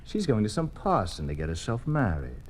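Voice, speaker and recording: Deep-voiced bullying secret policeman. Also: huge pitch swings, fairly quick, a little hiss or hum. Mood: menacing. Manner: curt, barking, menacing. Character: bullying, suspicious male secret police agent. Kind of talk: 1950s radio drama acting. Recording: old radio recording, loud music.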